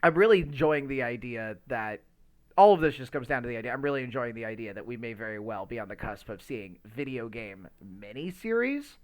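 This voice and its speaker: A man's voice: mid-range voice, animated, fairly quick. Rambling man chatting on a podcast. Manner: rambling, stammers over repeated words. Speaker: podcast co-host. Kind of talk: casual movie discussion chat.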